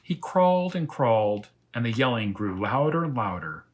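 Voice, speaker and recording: Husky, animated male storybook reader. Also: medium pitch, normal speed. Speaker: teacher reading aloud to first graders. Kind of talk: animated children's storybook narration.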